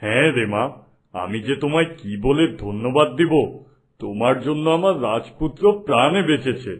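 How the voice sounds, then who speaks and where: A man's voice: medium pitch, lively intonation, moderate pace, lightly acted. A man voicing a kindly older king in a cartoon who speaks to his son in a fatherly way. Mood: fond. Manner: warm fatherly, commanding to servants. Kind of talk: Bengali cartoon dubbing.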